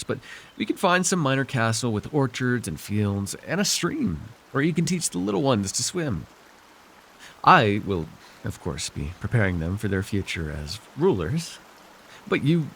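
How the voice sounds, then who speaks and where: A man playing a scheming, possessive dark sorcerer ruler in a fantasy roleplay, speaking theatrically and condescendingly with sighs and deliberate pauses. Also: fairly low pitch, slight background noise, heavily acted. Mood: persuasive. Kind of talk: immersive ASMR fantasy roleplay acting.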